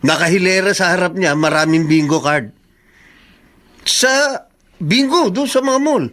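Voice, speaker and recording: A man's voice: sits high, animated, unhurried, fairly bright tone. An actor playing a frank, cautioning male friend in a Filipino radio drama, speaking with a Filipino accent. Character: frank male buddy tattling with a warning.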